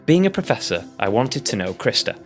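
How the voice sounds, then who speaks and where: A man's voice: medium pitch, sing-song, fairly quick, soft background music. Upbeat British male podcast host. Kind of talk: podcast intro and interview.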